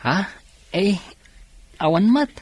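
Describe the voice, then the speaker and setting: Husky young worker, acted by a woman. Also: medium pitch, animated, slow, a little mellow, no room sound. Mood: teasing, encouraging. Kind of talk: Ilocano radio drama acting.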